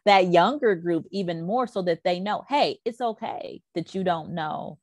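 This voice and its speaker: A middle-aged woman, a career and life coach, talking as a podcast guest in an emphatic, animated way. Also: on the low side, normal speed, no room sound, clean studio sound. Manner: emphatic, animated, quotes people in voices. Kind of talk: casual podcast conversation.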